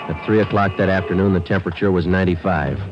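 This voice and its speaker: A man's voice: deep voice, steady tone, quick pace, close to an everyday voice. An actor playing a stoic American police detective who narrates his cases in a clipped, matter-of-fact radio drama style. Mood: grim, matter-of-fact. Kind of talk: 1950s radio crime drama acting. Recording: muffled old radio broadcast, dramatic music.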